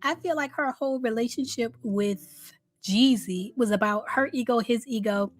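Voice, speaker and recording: A woman hosting a casual celebrity gossip commentary, chatty and candid, with drawn-out emphasis and a slightly raspy voice worn from a recent illness. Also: fairly high pitch. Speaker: host of a celebrity gossip channel.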